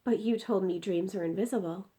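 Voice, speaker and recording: A woman's voice: mid-range voice, fairly quick, mellow, lightly acted. A woman voicing a curious, alarmed young girl in a storybook, questioning and exclaiming.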